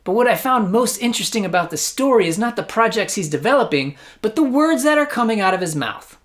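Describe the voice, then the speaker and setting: Lively, sarcastic American man. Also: high voice, fast talker. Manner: sarcastic, mock-incredulous. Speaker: host of a satirical news commentary show. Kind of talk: scripted comedic news commentary.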